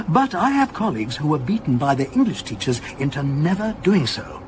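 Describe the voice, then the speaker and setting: A man's voice: on the high side, background noise. An actor playing a male academic curator in a radio drama, explaining his field with pedantic, self-amused eagerness. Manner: explanatory, donnish, animated. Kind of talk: naturalistic radio drama acting.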